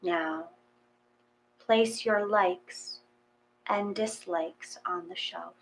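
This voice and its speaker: Female meditation reader: calm, gentle, unhurried delivery with generous pauses, noisy humming background. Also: lowish voice. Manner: calm, measured, with long pauses between short phrases. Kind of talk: gentle guided meditation, read from a text.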